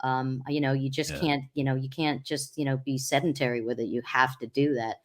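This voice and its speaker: Middle-aged woman w/ a really low deep voice, rambly, lots of ums and restarts. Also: steady tone, brisk. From Long Island, New York. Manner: rambling with many fillers and restarts. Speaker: podcast guest, comedian. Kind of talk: casual interview chat.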